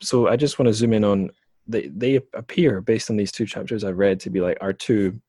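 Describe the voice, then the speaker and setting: A man hosting a podcast interview, his voice very low and the recording dry with no room sound. He talks casually, full of ums and restarts as he gropes for his question. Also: normal speed, dark tone, slightly rough, dry recording. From lived in China. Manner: rambling, many fillers and restarts. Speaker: podcast host.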